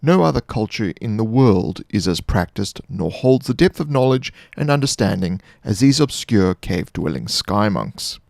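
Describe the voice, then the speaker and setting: A man reading scripted fantasy monster lore as a narrator, in a measured, formal storytelling manner. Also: lowish voice, very animated, gravelly, darkish tone.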